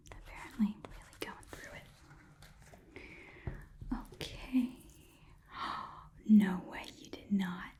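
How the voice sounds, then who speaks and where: A woman's voice: medium pitch, darkish tone, lightly acted. Woman doing a whispery goblin pawn shop lady, asmr roleplay, scatterbrained haggler, rambles and repeats stuff. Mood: delighted, admiring. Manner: halting, rambling, repeats phrases.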